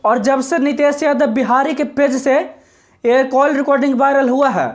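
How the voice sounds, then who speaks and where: Female commentator, high voice, informal online news explainer, insistent and repetitive. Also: steady tone, normal speed. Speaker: social media commentator.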